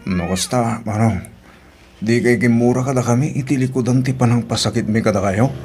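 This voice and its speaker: A man's voice: medium pitch, a little fast, a little mellow, a little hiss or hum, close to an everyday voice. A man acting a surly, neglectful younger son in an audio drama, speaking bluntly and dismissively. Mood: subdued.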